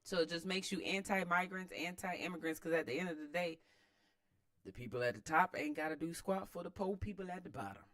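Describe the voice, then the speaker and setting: A man's voice: sits high, fairly quick, a bit bright. An American man giving casual, opinionated commentary as host of a read-aloud, emphatic and slangy, repeating phrases for emphasis.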